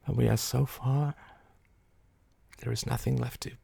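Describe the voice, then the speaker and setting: A man's voice: medium pitch, expressive, darkish tone, no echo, studio quality, voice pushed up, lightly acted. A man voicing an intense, volatile woman, commanding yet fragile, in a dramatic audiobook reading. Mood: anguished. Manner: dramatic, deliberate, mercurial.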